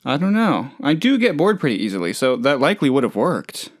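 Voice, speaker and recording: A man's voice: medium pitch, sing-song, a little fast, dry recording, studio quality. A man playing a hapless, trusting, lonely oddball in a video game, talking in a rambling, hesitant, good-natured way. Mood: casual musing. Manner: rambling, hesitant, naive, chatty. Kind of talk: video game character dialogue.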